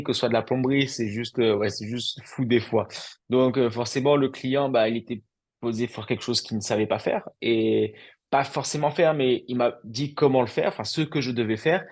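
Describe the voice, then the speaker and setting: A young man, an entrepreneur, telling his own story in a loose, chatty way full of fillers. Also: mid-range voice. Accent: French. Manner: loose and conversational, lots of fillers. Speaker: entrepreneur guest. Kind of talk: casual testimonial interview.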